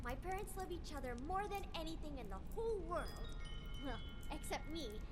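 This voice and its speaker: A high, childlike game character, a young boy hungry for adventure. The delivery is very animated, eager and chatty, with proud, slangy kid phrasing. Loud music plays along with the voice. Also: moderate pace. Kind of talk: video game character dialogue.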